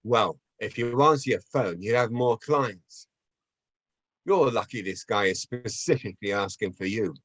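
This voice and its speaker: A man's voice: lowish voice, animated, unhurried, fairly bright tone, no echo. A man playing an angry, bullying gangster pimp who interrogates aggressively. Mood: cold, bullying. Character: angry, menacing male gangster pimp, foul-mouthed and bullying.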